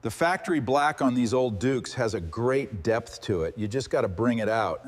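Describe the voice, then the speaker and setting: A man hosting and narrating a restoration in a scripted, calm workshop commentary style. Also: medium pitch, moderate pace. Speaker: host narrating a motorcycle restoration. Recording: long hall-like reverb.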